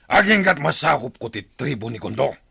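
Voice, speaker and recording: Guy playing evil defiant tribe chief, filipino accent, super gravelly, big swings in pitch, radio drama. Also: big pitch swings, normal speed, sharp tone, exaggerated voice. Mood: scheming, bitter.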